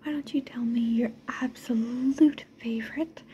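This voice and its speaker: A woman whispering softly in an ASMR video, repeating trigger words in a slow rhythm with a gentle, playful, soothing manner. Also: on the high side, fairly even intonation, dull audio, slight background noise. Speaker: ASMR creator. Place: indoors at home.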